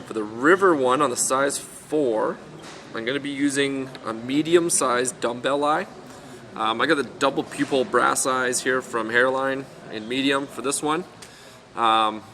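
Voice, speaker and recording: Hoarse man, bright chatty voice. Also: mid-range voice, slight background noise. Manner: relaxed, chatty, lots of ums and uhs. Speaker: fly-tying instructor. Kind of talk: casual step-by-step demonstration. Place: fly shop tying bench, indoors.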